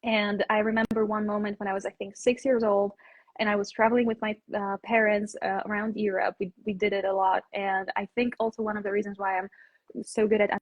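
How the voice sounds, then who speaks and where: A young woman from Poland, native in Polish, speaks English with an American accent. Her voice sits at an average pitch with a slightly husky edge. She talks casually, as an interview guest on a video call. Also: flat delivery, brisk, lo-fi sound. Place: indoors on an online video call.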